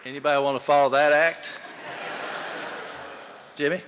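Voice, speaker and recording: A man's voice: a little high, steady tone, normal speed, crowd noise, noisy background. A man hosting a memorial gathering, speaking informally and telling anecdotes to people in a large hall. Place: large indoor hall before an audience. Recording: echoey hall with long reverb.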